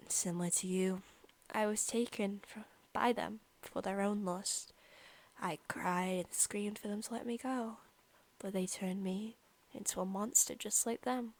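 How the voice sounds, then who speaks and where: An obsessive, sadistic vampire woman , acted out by a female ASMR performer, murmurs at a very slow pace. Her soft, breathy voice crackles with vocal fry and slips into whispers. She is teasing and menacing, like a predator toying with a pet. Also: mid-range voice, steady tone. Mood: teasing, gloating, then hungry, coaxing. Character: obsessive, sadistic centuries-old female vampire posing as student. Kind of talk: ASMR roleplay acting.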